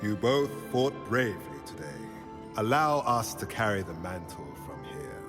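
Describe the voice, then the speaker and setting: A man voicing a grave, protective centaur in a video game, with formal, measured phrasing. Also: fairly low pitch, sing-song. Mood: earnest. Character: grave, noble male centaur, protective and solemn. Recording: long reverberant hall, music behind.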